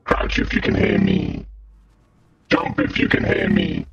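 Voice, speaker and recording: A man voicing a slow, guttural demon who calmly bosses others about while commentating on a video game, through a deep voice filter. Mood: bossy, deadpan. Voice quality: guttural, growling, gravelly. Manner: slow, drawn-out, deliberate short phrases. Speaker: gaming channel host. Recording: muffled lo-fi audio, faint noise.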